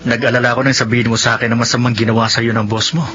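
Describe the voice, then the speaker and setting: A bright, forceful male voice of middle pitch in muffled drama audio. An actor plays a devoted Filipino husband, caring and earnest, in a radio soap. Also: little pitch movement, very fast, a little hiss or hum, natural voice. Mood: tender, earnest. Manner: earnest, urgent. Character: devoted husband, steady, caring overseas worker and loyal friend.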